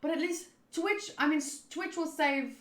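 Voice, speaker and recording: A woman's voice: high voice, normal speed, a bit bright, echoey room. A chatty, theatrical woman streaming a game, casually commenting, reading text aloud and swerving into asides. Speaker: streamer playing a visual novel.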